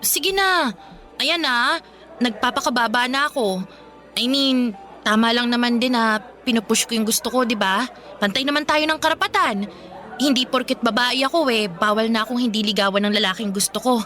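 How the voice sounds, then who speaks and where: A woman's voice: a little high, moderate pace, pushed and bright, faint music behind. An actress playing a lovestruck, pushy young woman in a Filipino radio drama, earnest and melodramatic with a Filipino accent. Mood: earnest pleading.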